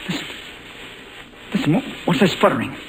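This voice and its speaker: An actor playing a brave, quick-thinking young American ace pilot who gives urgent, clipped commands in 1940s radio adventure style. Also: male voice, fairly high pitch, sing-song. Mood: worried. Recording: noisy vintage 1940s radio recording.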